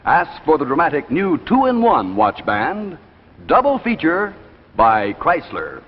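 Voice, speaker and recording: An American man presents like a 1950s commercial announcer, polished and emphatic. His delivery is very animated. The old broadcast sound is muffled and reverberant, with faint noise. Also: a little high, takes it slowly, husky. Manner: polished, emphatic, addressing viewers directly. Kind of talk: 1950s live television sales pitch. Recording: dull, hissy 1950s broadcast, long reverb.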